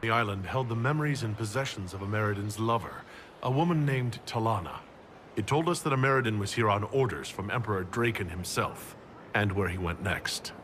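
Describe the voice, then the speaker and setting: Man as low-voiced, composed game hero. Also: fairly quick, a bit bright, a little hiss or hum, lightly acted. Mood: calm, resolute. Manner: level, direct, questioning. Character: steady, composed male hero inquisitor. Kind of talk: video game dialogue.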